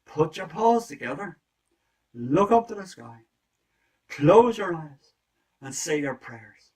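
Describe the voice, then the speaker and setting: A man voicing a clever, quick-thinking male rooster in a told story, talking in a raised, insistent and persuasive way. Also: a little high, expressive, gravelly, fairly bright tone, far from the mic, pitched above the performer's own voice.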